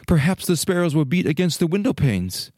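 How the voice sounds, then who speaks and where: A man's voice: fairly high pitch, big pitch swings, brisk, darkish tone, dry recording, clean studio sound. A man playing a young fir tree in a storybook, restless and yearning, speaking in earnest exclamations and questions. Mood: excited anticipation. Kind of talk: dramatic storybook reading.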